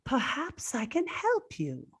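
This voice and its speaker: A woman's voice: sits high, wildly swooping pitch, slow pace, slightly rough, full character voice. A woman playing a scheming, cosy, outspoken household servant in an old-time radio drama, coaxing and conspiratorial.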